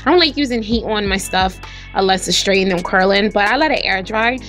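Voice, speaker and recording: Woman vlogger, raspy, chatty, mid-range pitch, light music underneath. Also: fairly quick, a bit bright. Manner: chatty, addresses viewers directly. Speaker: hair vlogger. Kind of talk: casual hair review and tutorial.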